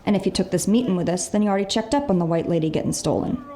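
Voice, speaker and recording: A woman's voice: lowish voice, very fast, warm tone, close to an everyday voice. A woman playing a candid leader of a thieving crew in an audio drama, clipped and matter-of-fact.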